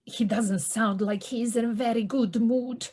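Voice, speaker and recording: Audio play mole, played by a woman: earnest, homely, gentle, slow-spoken with a high pitch. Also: steady tone. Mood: worried. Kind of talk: community audio play acting. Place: living room, recorded over video call.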